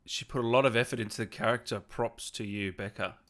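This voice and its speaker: A man's voice: fairly low pitch, steady tone, fast, fairly bright tone. A man hosting a casual online interview, chatting with his guest and reading out viewer comments. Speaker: interview host, livestreamer. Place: remote online video call.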